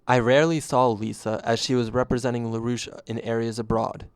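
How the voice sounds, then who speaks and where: A man narrating a scripted first-person story in a deadpan way. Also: medium pitch, a little fast, clean tone, dry recording.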